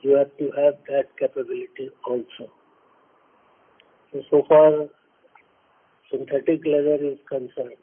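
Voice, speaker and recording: A businessman with an Indian accent gives unscripted remarks in a husky voice that stays on a narrow, even pitch. His phrasing is deliberate and halting, with words repeated as he goes. The sound is band-limited, like a telephone conference line. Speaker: company executive. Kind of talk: unscripted earnings call remarks. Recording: narrow tinny phone-line audio, muffled.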